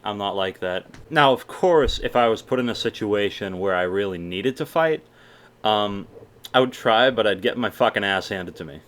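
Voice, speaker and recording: Young massachusetts dude, bit low voice, leftover boston accent, chill and sweary q&a vlog. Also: male voice, fairly bright tone. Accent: mild Boston mixed with general American. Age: young adult. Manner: laid-back, swears often, chatty. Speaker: YouTuber answering viewer questions. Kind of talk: casual Q&A vlog.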